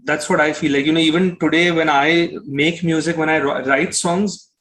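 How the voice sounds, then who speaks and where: A man's voice: fairly high pitch, fairly even intonation, normal speed, a bit bright. A young man with an Indian accent answering casually as an interview guest, explaining things reflectively. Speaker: interview guest, singer-songwriter.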